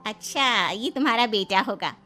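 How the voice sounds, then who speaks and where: A woman's voice: on the high side, animated, normal speed, sharp tone, faint music behind. A woman playing a nosy, teasing middle-aged auntie in a cartoon story, inquisitive and a little disapproving. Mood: surprised, teasing. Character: nosy, teasing middle-aged female friend of mother-in-law. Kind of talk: animated moral story acting.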